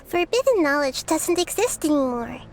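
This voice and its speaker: A high-voiced, gentle little goddess. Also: female voice, sing-song, a little hiss or hum. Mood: reassuring. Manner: measured, thoughtful, reassuring. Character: wise, gentle young child-like goddess, calm and caring. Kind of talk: video game voice acting.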